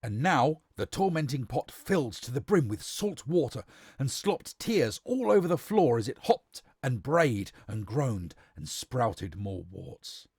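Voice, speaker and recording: Animated male storyteller, dry sound. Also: medium pitch, unhurried, husky, dry recording. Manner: theatrical, emphatic. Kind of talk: dramatic storybook narration.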